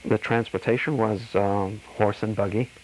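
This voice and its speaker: An older man from Slovakia, recounting his life in a measured, slightly formal way. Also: lowish voice, fairly even intonation, a little mellow, low-quality recording. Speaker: interviewee. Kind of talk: personal oral-history reminiscence.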